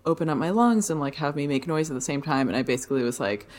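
Low-voiced woman chatting on a podcast. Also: lively intonation, fast, darkish tone. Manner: rambling, many fillers, laughs easily. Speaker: podcast co-host, fitness and dance teacher. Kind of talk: casual podcast chat.

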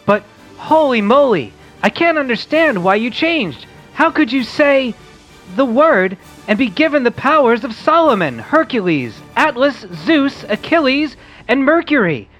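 An excitable young boy hero voiced by a woman talks with lively swings of pitch. He is earnest, eager and polite, in an amateur radio play taken from a comic book. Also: a little slow, soft background music, low-quality recording. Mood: amazed, eager.

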